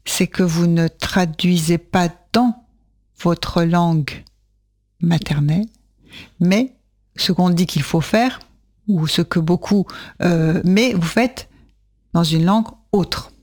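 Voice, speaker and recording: A radio host introduces a guest and asks a long, halting question full of fillers. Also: mid-range voice, a little mellow. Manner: halting, many fillers, frequent short backchannels. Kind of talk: radio interview. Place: radio studio.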